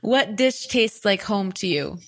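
A woman's voice: mid-range voice, animated, a little slow. A woman hosting a podcast in a conversational interview style. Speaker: podcast host.

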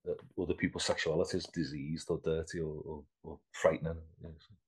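A man in his fifties speaks in a deep, husky voice. He talks loosely and conversationally, restarting sentences and repeating words while he thinks aloud. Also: little pitch movement, quick pace, poor audio. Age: 50s. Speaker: artist guest. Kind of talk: casual interview chat.

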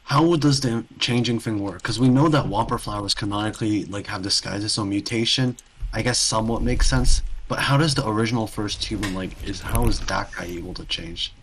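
A man's voice: on the low side, brisk, a bit bright, slight background noise, poor audio. A man chatting casually, hesitant and questioning as he works through his thoughts. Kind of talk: casual chat.

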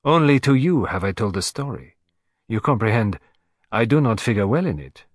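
A man's voice: lowish voice, big pitch swings, moderate pace, no room sound. Guy putting on a fussy vain old detective, voice jumping way up and down, really dry sound. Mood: agitated. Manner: theatrical, fussy exclamations. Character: excitable vain elderly male detective.

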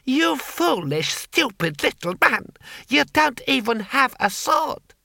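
A man's voice: very high voice, big pitch swings, vocal fry, bright, forceful tone, no room sound, studio quality, pitched above the performer's own voice, heavily acted. A man playing an icy, haughty imperial lord. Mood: outraged. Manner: slow, icy, haughty drawl. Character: cold, cruel, scheming male imperial lord. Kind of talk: audiobook character voice.

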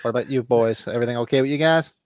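A man's voice: mid-range voice, very fast, dry recording, studio quality. A middle-aged man with a stuffy, sniffly nose from allergies, a podcast co-host rambling and telling stories in casual chat over a remote call. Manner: rambling, animated storyteller.

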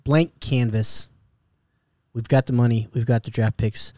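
A man's voice: medium pitch, steady tone, a little slow, mellow, dry recording, clean studio sound. A man co-hosting a sports podcast, casually chatting and putting questions to the others. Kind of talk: casual chat, asking questions.

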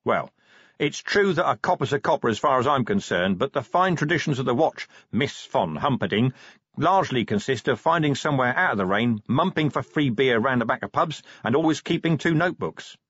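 A man's voice: mid-range voice, lively intonation, brisk, a bit bright, husky, dry recording, lo-fi sound, pitched above the performer's own voice. A man voicing an irritable, world-weary police commander with a curt, sardonic way of talking, in an audiobook story.

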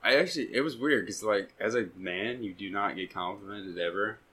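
A young man co-hosting a podcast, chatting casually in a relaxed, rambling, self-deprecating way. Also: lowish voice, brisk, slightly rough. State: recovering from being sick. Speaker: podcast co-host. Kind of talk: casual chat.

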